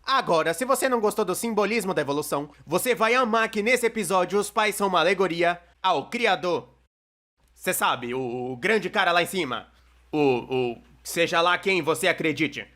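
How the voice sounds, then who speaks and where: Brazilian narrator, expressive and conversational. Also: medium pitch, normal speed. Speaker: narrator of a cartoon opinion video. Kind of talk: scripted animated commentary video narration, fan dub.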